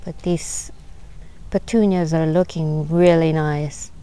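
A woman gives an unhurried, admiring tour of her backyard garden, filming on a handheld phone outdoors. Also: sits low, steady tone, mellow, slight background noise. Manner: unhurried, admiring, many fillers. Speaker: gardener vlogger. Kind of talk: casual garden tour narration.

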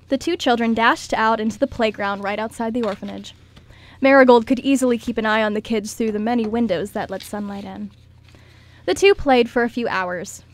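A woman's voice: on the high side, dry recording. A woman reading scripted story narration as the narrator of an amateur radio drama.

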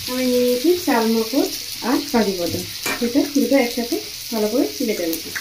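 Brief: quick, fairly high-voiced woman narrating her own cooking, recorded in an echoey home kitchen. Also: animated, background noise. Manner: chatty, keeps addressing viewers as friends. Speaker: cooking channel host. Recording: echoey tiled kitchen with loud frying. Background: sizzling and frying from the pan.

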